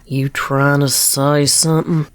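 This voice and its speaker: A stubborn young farm mare played by a woman speaks in a slightly low voice. She is proud and guarded, with folksy phrasing, recorded close and dry as storybook drama. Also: level tone, unhurried, no room sound. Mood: defensive. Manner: folksy, guarded rural speech. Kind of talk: dramatic fan fiction reading.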